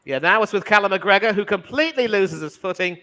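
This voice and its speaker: Bright-voiced man doing match commentary. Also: high voice. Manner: measured, commentator-like delivery. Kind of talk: casual chat mixed with play-by-play commentary.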